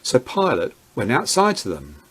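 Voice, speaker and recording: Man narrating scripture with lively pitch. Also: moderate pace, husky. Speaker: narrator, Bible reader.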